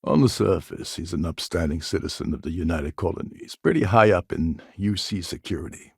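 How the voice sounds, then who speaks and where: An actor voicing a cynical, scheming space pirate pilot in a video game, with a gravelly, husky voice and a wry delivery. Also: male voice, sits low, animated, a little fast, darkish tone, dry recording, clean studio sound, heavily acted. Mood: serious, briefing. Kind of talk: video game character dialogue.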